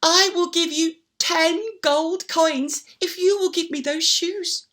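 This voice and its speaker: A woman's voice: extremely high pitch, fairly even intonation, takes it slowly, a bit bright, heavily acted. A woman voicing an eager, delighted lady shopper who gushes politely in a children's story.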